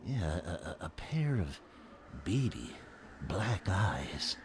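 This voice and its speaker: An actor in an audio drama playing a shaken, apologetic train ticket clerk who stammers as if haunted. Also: male voice, deep voice, very animated, slow pace, noisy background, full character voice. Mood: haunted, dread.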